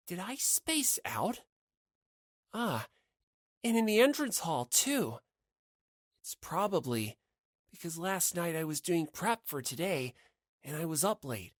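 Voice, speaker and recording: A man's voice: a little high, bright, forceful tone, no room sound, clean studio sound, pitched above the performer's own voice, heavily acted. A man voicing a bewildered, self-doubting teenage schoolboy, musing hesitantly to himself in an audiobook monologue.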